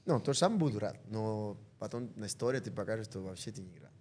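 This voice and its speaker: A man from Ecuador with a Spanish accent, a football player speaking halting, non-native Russian as a guest in a casual podcast interview. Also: lowish voice, lively intonation, a little mellow, a little hiss or hum.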